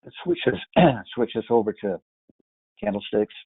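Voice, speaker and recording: Hoarse man explaining charts. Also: mid-range voice, big pitch swings, normal speed, dry recording, narrow, tinny audio. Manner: hesitant, many fillers and restarts. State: hoarse from yelling. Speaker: market analyst. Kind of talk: screen-share chart walkthrough.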